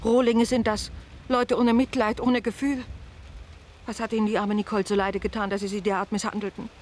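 A woman's voice: mid-range voice, little pitch movement, fairly quick, a little mellow, slight background noise, natural voice. A woman playing a dramatic, emphatic innkeeper in a theatrical radio play. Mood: indignant. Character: loud, indignant, lamenting innkeeper woman, quick to switch to courtesy.

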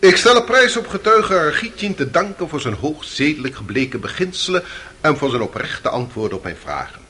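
An actor playing a pompous, theatrical courtroom questioner in a radio drama, formal and oratorical. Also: male voice, on the high side, sing-song, fairly quick, pushed and bright, slight background noise. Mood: pompous, ironic.

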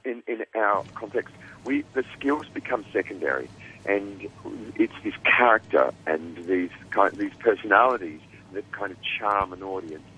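A man's voice: fairly low pitch, animated, moderate pace, bright, forceful tone, slight background noise, low-quality recording. A man with an Australian accent, a guest producer talking casually in an interview, rambling with many restarts and fillers.

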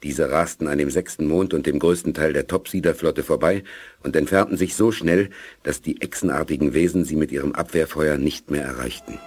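A man's voice: a bass voice, steady tone, brisk, a little mellow, breathy edge. A man narrating a science fiction radio play in a scripted, sober manner, with music under his voice. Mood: measured. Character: sober narrator of a space adventure.

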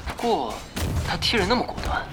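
An actress playing an anxious, excitable young guild member in an audio drama about gamers. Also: female voice, lowish voice, brisk, loud music behind the voice. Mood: worried, deflated.

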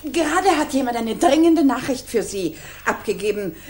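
Woman acting housekeeper, high sing-song voice, polite and busy, old german radio play, slight noise. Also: expressive, moderate pace, a little mellow, close to an everyday voice. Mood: bustling.

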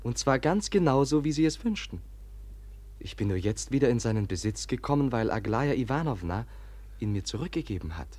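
A man playing a gentle, naive young Russian prince in a 1950s German radio drama, speaking politely and earnestly. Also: mid-range voice, very animated. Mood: earnest, calm. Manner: polite, earnest, deliberate, formal.

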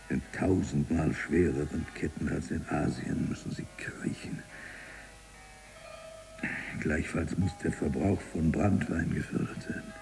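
Very deep, gravelly embittered libertine. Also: male voice, warm tone, exaggerated voice, low-quality recording. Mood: scornful, ominous. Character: embittered imprisoned male libertine writer with revolutionary zeal. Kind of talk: literary radio drama monologue.

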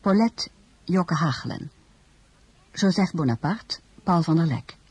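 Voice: medium pitch, lively intonation, unhurried, husky, no echo. A radio drama announcer, reading scripted credits.

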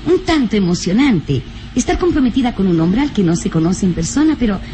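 A woman's voice: medium pitch, sing-song, brisk, warm tone, natural voice. An actress playing a romantic, independent-minded young lady in an old radio serial, coy, sighing and gushing. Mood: hesitant, coy. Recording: old radio recording, faint noise.

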